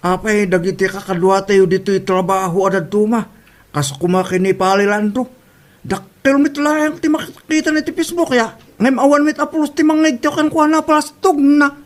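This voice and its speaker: A man's voice: high voice, moderate pace, natural voice. A man with an Ilocano accent playing a sceptical, grumbling working husband in a comedy audio drama.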